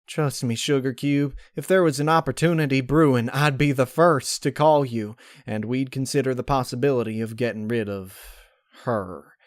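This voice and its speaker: A plain-spoken female farm pony, played by a man in a story reading, pitched a little above a man's usual voice. She sounds steady and folksy, talking things through calmly. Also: normal speed, no room sound, clean studio sound, lightly acted. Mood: reassuring.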